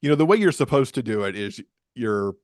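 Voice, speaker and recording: A man's voice: medium pitch, expressive, brisk, no echo, studio quality. An American man from Michigan speaking casually as an interview guest, explaining things in a chatty way. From Michigan, USA. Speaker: podcast guest, romance author.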